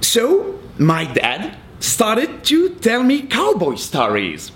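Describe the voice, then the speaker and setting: A man's voice: high-pitched, huge pitch swings, slow pace, fairly bright tone. A man from Belgium, a native French speaker with a Belgian French accent, telling a self-mocking story to an audience. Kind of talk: storytelling performance. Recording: noisy live recording.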